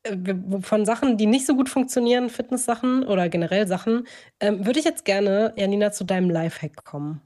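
A woman hosting a podcast in casual chat, expressive and slangy, dropping in English words. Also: medium pitch, fairly quick. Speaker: podcast host.

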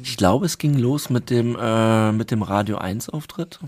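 A man hosting a podcast, chatting casually and telling stories in a lively way. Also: mid-range voice, measured pace. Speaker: podcast host. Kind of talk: casual chat.

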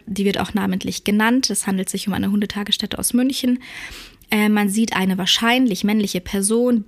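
Woman, hoarse from a cold, podcast host, earnest, halting with fillers, close microphone. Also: mid-range voice. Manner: earnest, with frequent fillers and self-corrections. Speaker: podcast host and dog trainer. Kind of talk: casual podcast monologue.

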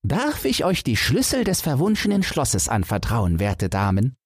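A man's voice: mid-range voice, wildly swooping pitch, moderate pace, husky, no room sound, clean studio sound, voice pushed up. A man voicing a polite, plucky young giant-slayer in a fairy tale audiobook, confident and courteous.

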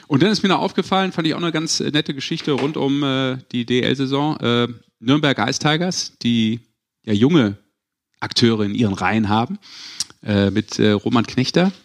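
A man's voice: mid-range voice, moderate pace. A man with a mid-range voice hosts a sports podcast. He talks in a loose, casual way, as in a conversation among colleagues. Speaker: podcast host.

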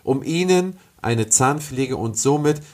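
A man's voice: medium pitch, expressive, a little slow, reverberant. A man hosting a podcast, introducing his guest and asking formal, earnest questions. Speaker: podcast host. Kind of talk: podcast interview.

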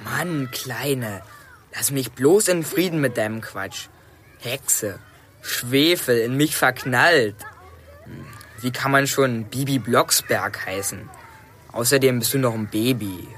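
A man's voice: mid-range voice, takes it slowly, fairly bright tone, slight background noise. A man playing a cocky teenage boy in a children's audio drama, with slurred syllables and a natural, untrained delivery. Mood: dismissive, annoyed. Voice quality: slurred diction, swallowed syllables. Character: older boy, cocky teenage crush of a witch.

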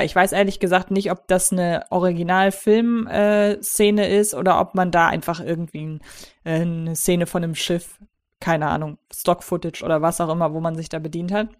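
A woman hosting a horror film podcast, chatting casually and explaining her points at length. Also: medium pitch. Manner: conversational, explains at length with fillers. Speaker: podcast host. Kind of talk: casual film review chat.

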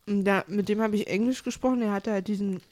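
A woman's voice: mid-range voice, fairly even intonation, fast talker, darkish tone, no room sound. Blunt woman, dry close sound. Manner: blunt, matter-of-fact opinions. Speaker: podcast co-host. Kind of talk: casual chat.

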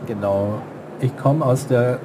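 A man's voice: mid-range voice, slow pace. A man in his sixties from Germany, a guest artist talking in an interview, hesitant with many fillers and restarts. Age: 60s. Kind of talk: interview conversation. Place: trade fair booth in a busy hall. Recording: trade fair crowd noise behind.

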